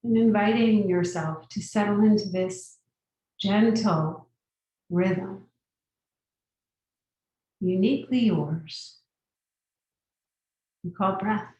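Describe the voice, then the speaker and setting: A woman guiding a meditation as a teacher, giving gentle, unhurried instructions with long pauses. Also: medium pitch. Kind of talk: guided meditation. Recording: very echoey room, distant mic.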